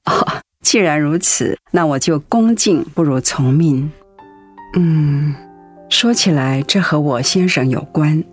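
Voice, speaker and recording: A middle-aged pastor's wife in a radio drama, caring and polite. Her voice is a touch low and noticeably husky. She speaks conversationally, with faint music underneath. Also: slow, full character voice. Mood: polite, reflective.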